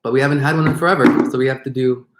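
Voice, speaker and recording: A man's voice: medium pitch, fairly even intonation, racing, echoey room, background noise. A man chatting casually on a live stream, reading out viewer questions.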